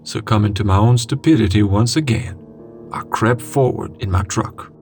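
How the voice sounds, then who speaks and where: Low, gravelly man narrating horror. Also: a little mellow. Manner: dramatic, suspenseful delivery with pauses. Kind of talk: scripted first-person scary story narration. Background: music.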